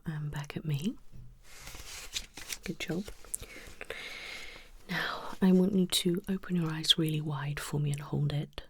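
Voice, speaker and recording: A woman whispering as a gentle eye specialist. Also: low voice, steady tone, takes it slowly, long pauses, slight background noise, full character voice. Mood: soothing. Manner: soft whispered instructions, calls the listener "my lovely". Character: gentle female eye specialist examining a patient. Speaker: ASMR creator playing an eye specialist. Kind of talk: whispered ASMR roleplay.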